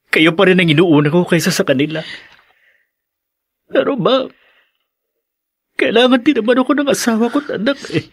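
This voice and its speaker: An actor playing a dutiful young married son in a radio drama, earnest, hesitant and pleading. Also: male voice, sits high, heavily acted. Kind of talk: Filipino radio drama acting.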